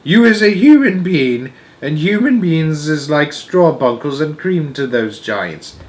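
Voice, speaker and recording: Man voicing gentle giant, lifted pitch, muddled speech. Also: lively intonation, unhurried. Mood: suspicious. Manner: ungrammatical, jumbled made-up words.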